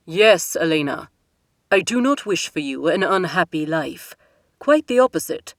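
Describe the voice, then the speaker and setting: Woman voicing a stern older king, formal, firm, louder, dry close sound. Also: lowish voice, expressive, dry recording. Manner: measured, formal, authoritative.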